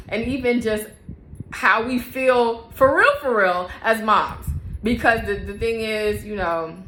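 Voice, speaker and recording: A woman's voice: on the low side, a bit bright, echoey room, a little hiss or hum, low-quality recording. A woman hosting her own faith-based mom podcast, talking casually to camera, chatty and often laughing, repeating herself for emphasis. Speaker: podcast host and mother.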